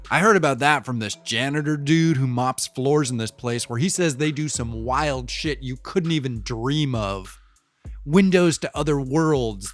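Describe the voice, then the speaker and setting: An actor playing a young, streetwise city cyclist who talks in a rambling, conspiratorial way with slangy, profane street talk, over a soft music bed. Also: male voice, on the high side. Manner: rambling, conspiratorial, shifts between wry and fired-up. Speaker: local bike courier interviewed. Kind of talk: audio drama monologue.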